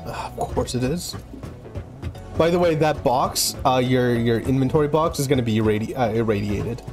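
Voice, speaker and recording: A man talks easily with a friend while they play a building game. He is relaxed, laughs often, and speaks at a middling pitch over steady game music. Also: normal speed. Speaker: co-op gameplay player. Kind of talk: casual gaming chat.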